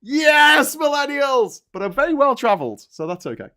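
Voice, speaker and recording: A man's voice: extremely high pitch, big pitch swings, normal speed, pushed and bright. A middle-aged man from Liverpool with a Liverpool accent, chatting casually with wry jokes and anecdotes. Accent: Liverpool English. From Liverpool, England. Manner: joking, wry, sweary. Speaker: panelist on a live online chat show. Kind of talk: casual political banter and anecdotes.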